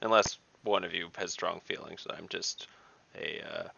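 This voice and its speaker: A man with a low, heavily creaky voice talks through a game casually. He has a bright, forceful edge and thinks aloud in a halting way, through dull call audio. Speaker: tabletop game player. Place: indoor room, video call.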